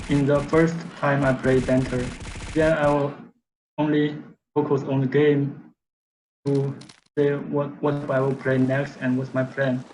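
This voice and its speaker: A man whose first language is Chinese, answering interview questions slowly and haltingly as he searches for words. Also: mid-range voice, a little mellow, poor audio. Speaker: guest player. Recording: clear background noise.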